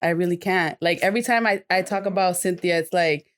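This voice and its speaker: Nasal woman guest, animated and gushing. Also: fairly quick. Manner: animated, jumps up in pitch when quoting. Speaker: podcast guest. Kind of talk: casual heartfelt chat.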